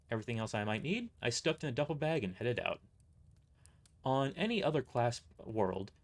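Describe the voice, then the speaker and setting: A man narrating a first-person story aloud, reading a little haltingly and stumbling over words. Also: medium pitch.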